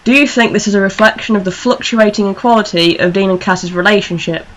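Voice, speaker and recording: A woman's voice: medium pitch, quick pace, low-quality recording. A woman hosting a fan radio show, talking casually in a panel discussion.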